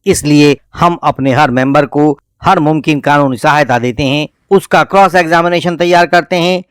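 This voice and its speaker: A man with an Indian accent reads a scripted promotional narration in formal, measured phrases. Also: on the high side, no echo, studio quality. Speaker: channel host.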